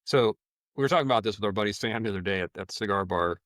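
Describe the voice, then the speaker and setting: A man hosting a casual podcast, talking in a relaxed, rambling way and swearing now and then. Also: fairly low pitch, racing, dry recording, studio quality. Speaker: podcast host. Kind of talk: casual chat.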